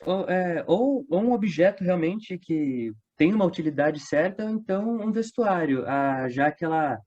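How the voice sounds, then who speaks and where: A man's voice: a little high, expressive, normal speed, a little mellow. A Brazilian man, a theatre company member, chatting casually as an interview guest on dull, lo-fi audio.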